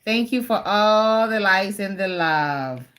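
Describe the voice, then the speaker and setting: A woman with a bright, forward voice reads a children's story at an unhurried pace. Her pitch moves up and down a lot, and she slips into casual, encouraging chat with listeners and her child. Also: expressive, clean tone. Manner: encouraging, prompts child to repeat and asks questions. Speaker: mother reading aloud to child on livestream.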